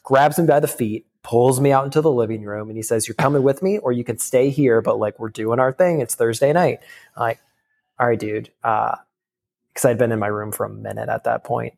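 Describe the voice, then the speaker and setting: A young man telling a candid personal story in casual talk, halting, with many fillers and restarts. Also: medium pitch, vocal fry, darkish tone. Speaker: guest.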